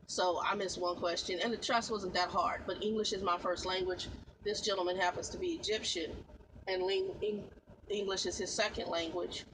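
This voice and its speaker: A woman's voice: fairly low pitch, steady tone, moderate pace, background noise. Chatty woman trucker rambling to viewers. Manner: chatty, digressive, repeats herself, addresses viewers as y'all. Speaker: truck driver vlogger. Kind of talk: casual rambling vlog. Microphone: phone.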